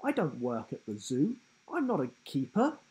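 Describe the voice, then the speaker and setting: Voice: medium pitch, huge pitch swings, moderate pace, darkish tone, low-quality recording. A storyteller voicing a bewildered young schoolboy who stammers and sounds unsure. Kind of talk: storybook character voice.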